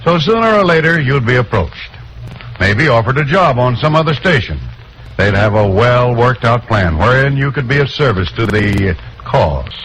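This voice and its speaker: A male actor as an earnest American station boss who is set on persuading. His medium-pitched voice moves up and down in animated fifties radio drama style. There is clear noise on the old recording. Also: normal speed, close to an everyday voice, poor audio. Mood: firm, calculating.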